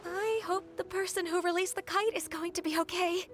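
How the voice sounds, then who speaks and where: A woman's voice: extremely high pitch, steady tone, moderate pace, music in the background. A woman playing an earnest, caring and polite young woman in a video game. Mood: concerned. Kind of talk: video game cutscene acting.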